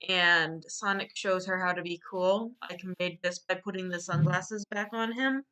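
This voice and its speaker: A woman's voice: on the low side, normal speed, bright, forceful tone, smooth. A woman casually presenting her own drawn storyboard in a joking, mock-earnest way, as the illustrator.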